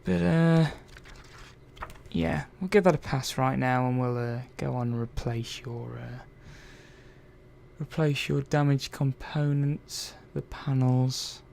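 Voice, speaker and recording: A man's voice: medium pitch, slow pace, gravelly, a little mellow. A man playing a chatty, caring robot technician in an ASMR roleplay, talking hesitantly and reassuringly to one listener. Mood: reassuring, fond. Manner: hesitant, rambling, reassuring, murmured asides. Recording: faint background noise and ambience.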